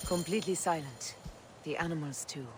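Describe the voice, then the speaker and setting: An actress as a vengeful woman warrior of ancient Egypt, fairly low in pitch and tersely restrained. The game scene has a long reverb with faint ambient noise. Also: brisk, over music, lightly acted. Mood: grim. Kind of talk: dramatic video game acting.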